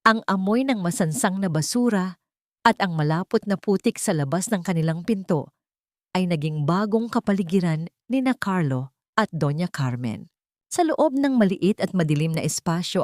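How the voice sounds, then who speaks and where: A woman reading a scripted dramatic story as narrator, in a measured, solemn storytelling manner. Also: on the low side, a little mellow, no echo, studio quality.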